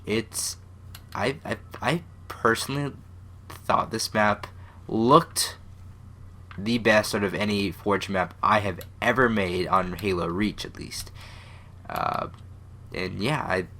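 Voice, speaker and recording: Young male gaming host, congested and stuffy, bright tone, low-ish voice, loose rambling commentary. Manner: rambling, self-deprecating, many fillers and restarts. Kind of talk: casual gameplay commentary.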